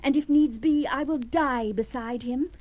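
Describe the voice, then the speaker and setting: High, slow-spoken devoted young fiancée. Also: female voice, darkish tone, dry recording. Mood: tender, anxious. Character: devoted, frightened young fiancée in gothic tale. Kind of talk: 1970s horror audio drama acting.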